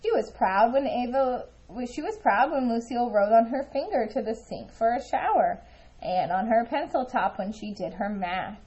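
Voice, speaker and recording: Expressive woman reading a children's story, lively pitch. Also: normal speed, dull audio. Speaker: storybook reader. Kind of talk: expressive children's read-aloud narration.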